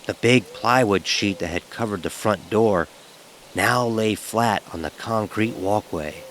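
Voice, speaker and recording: An actor playing a nervous young fraternity pledge, narrating his own horror story with measured suspense. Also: lowish voice, a bit bright, a little hiss or hum. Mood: tense, suspenseful. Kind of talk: dramatic first-person horror narration.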